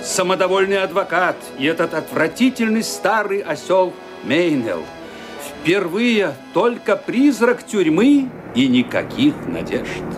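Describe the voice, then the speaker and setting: A dramatic male storyteller in an audio drama. His rather high voice sweeps up and down as he narrates with irony and theatrical expression. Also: very animated, a little slow, over music. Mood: bitter, dramatic. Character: dramatic, ironic male storyteller voicing a character's thoughts.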